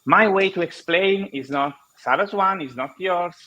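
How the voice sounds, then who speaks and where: Italian-accented male guest, slightly high voice, lo-fi call audio, halting and explanatory. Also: sharp tone. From Italy. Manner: halting non-native English, many restarts, explanatory. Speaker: guest speaker. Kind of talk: online conference interview.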